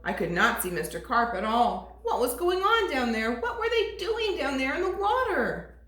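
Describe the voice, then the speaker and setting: A woman teacher reading a storybook aloud to toddlers in an expressive, sing-song way. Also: high voice, normal speed. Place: classroom. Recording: echoey room.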